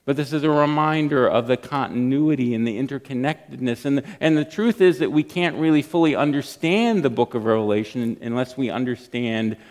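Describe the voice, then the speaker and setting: A middle-aged man preaching a sermon from a church lectern, reading scripture and praying with earnest emphasis and pauses. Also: medium pitch, darkish tone. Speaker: pastor. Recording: long church hall reverb.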